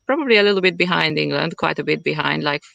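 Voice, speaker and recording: A woman from Hungary with a Hungarian accent, chatting as an interview guest in a halting way as she searches for words. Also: low-pitched, vocal fry, a bit bright, no room sound, muffled recording. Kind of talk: casual conversational interview. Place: at home in the countryside.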